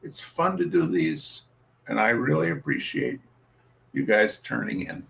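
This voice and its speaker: A man's voice: lowish voice, very animated, measured pace, creaky, fairly bright tone. A man hosting and reading humour in a theatrical, showman-like way, with emphatic repetition and an informal, rambling streak. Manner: showman-like, emphatic, repeats phrases. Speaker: host reading humour pieces.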